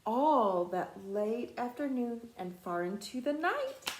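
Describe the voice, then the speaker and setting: Expressive woman reading a storybook, echoey room. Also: on the high side, slow, a little hiss or hum. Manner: dramatic, rhythmic. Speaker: teacher reading to students.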